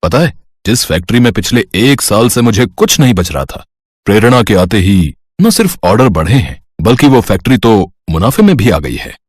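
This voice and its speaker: A man's voice: lowish voice, big pitch swings, moderate pace, breathy edge, no echo, clean studio sound, voice pushed down. A man voicing a kindly middle-aged father in a cartoon story, affectionate and approving. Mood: pleased. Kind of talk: Hindi cartoon story dialogue.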